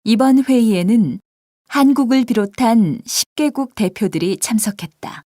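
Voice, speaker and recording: A woman voicing scripted dialogues and example sentences for a language textbook, with clear, expressive line reading. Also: a little high, moderate pace, no room sound, studio quality. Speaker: textbook audio voice actor.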